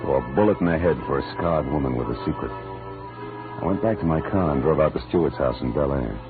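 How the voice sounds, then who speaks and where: A man playing a wry, hard-boiled American private detective in 1940s radio drama style, clipped and deadpan. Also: rumbling low, brisk. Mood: terse narrating. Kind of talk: 1940s radio drama acting and first-person narration. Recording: muffled vintage radio recording, music behind.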